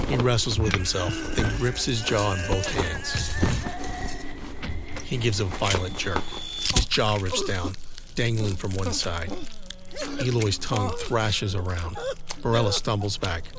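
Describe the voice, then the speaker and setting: A man with a fairly low voice works as the narrator of an audio drama. He reads the script deliberately in clear-cut phrases, with music clearly playing behind him.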